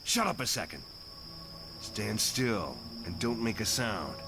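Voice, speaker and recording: A man's voice: lowish voice, very animated, slow pace, full character voice. A man playing a surly, blunt tough mutant fighter in a motion comic, curt and slangy. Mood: irritated. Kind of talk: motion comic character acting.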